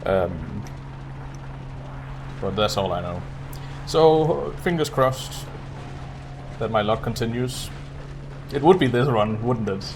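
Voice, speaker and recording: A man's voice: mid-range voice, takes it slowly, long pauses, noisy background. A man giving casual live gameplay commentary as a speedrunner, muttering and thinking aloud. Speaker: speedrunner streaming a video game.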